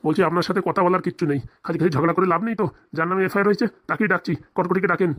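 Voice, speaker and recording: Woman acting weary village cop, cartoon comedy, lowish pitch, extremely fast talking, nagging, accusing. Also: steady tone, lightly acted. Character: exasperated village police officer questioning a suspect. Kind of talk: comic cartoon dialogue.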